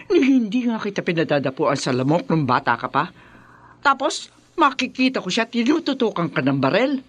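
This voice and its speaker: A woman playing a feisty, protective elderly mother and grandmother in a radio drama, expressive and motherly. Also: fairly low pitch. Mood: protective, indignant. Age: older.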